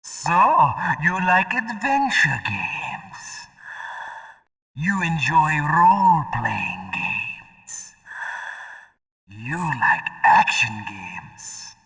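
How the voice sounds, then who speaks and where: An actor playing a menacing, taunting psychic villain in a video game, speaking in a theatrical, drawn-out way. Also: male voice, on the high side, sing-song, creaky, big-room sound, heavily acted. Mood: gloating, eerie. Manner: theatrical, drawn-out, swooping from loud cries to whispers.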